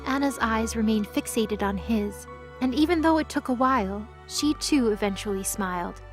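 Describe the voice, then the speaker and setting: Narator perempuan bersuara tinggi, diiringi musik. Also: female voice, on the high side, moderate pace. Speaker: narrator. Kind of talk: scripted audio drama storytelling narration. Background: music.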